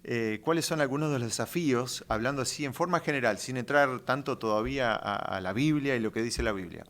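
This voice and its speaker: A man from Uruguay hosts a faith podcast, welcoming guests and posing questions. He talks in a mid-range voice and often pauses on fillers between phrases. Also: mid-range voice, a bit bright. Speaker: podcast host. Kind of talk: interview hosting.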